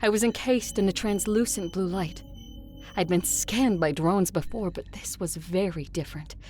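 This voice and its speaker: A woman's voice: lowish voice, normal speed, darkish tone, faint music behind, natural voice. A woman playing an adult female conqueror who narrates her quest in a first-person audio drama. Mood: measured narration. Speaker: narrator and lead character.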